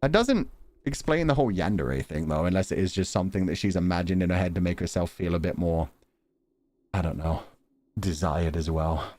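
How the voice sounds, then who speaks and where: A man's voice: low-pitched, lively intonation, darkish tone. A man livestreaming a visual novel, reading it aloud as dramatic narration and chatting casually about it. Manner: measured, deliberate storytelling delivery when reading. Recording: long reverb, music behind. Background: music.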